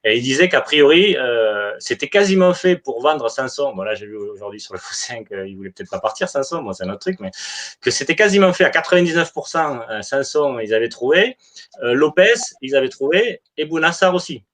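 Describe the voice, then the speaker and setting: A man debating casually as a football supporter, hesitant and halting, often repeating himself. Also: medium pitch, lively intonation, a bit bright. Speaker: football supporter in a live online fan debate.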